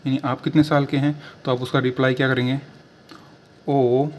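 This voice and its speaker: A man teaching a language lesson, repeating each phrase word by word with drawn-out pauses. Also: mid-range voice, level tone, darkish tone. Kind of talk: slow spoken language lesson.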